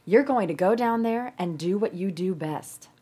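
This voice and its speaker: A woman's voice: mid-range voice, lively intonation, moderate pace, mellow, slightly rough. A woman playing a teasing, no-nonsense best friend in her forties, frank and prodding, at a script table read.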